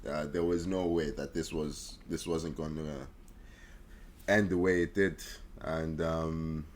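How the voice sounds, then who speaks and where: Male podcast co-host, low voice, casual and informal football talk. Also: steady tone, takes it slowly, a little hiss or hum.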